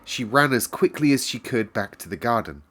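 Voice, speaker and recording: A man reading a classic children's novel aloud in a calm, measured storyteller's way. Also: mid-range voice.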